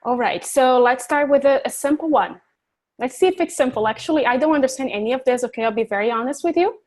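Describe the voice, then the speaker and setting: A woman's voice: high voice, fast talker, darkish tone. A woman teaching an online English class, chatty and encouraging, laughing easily and joking about herself.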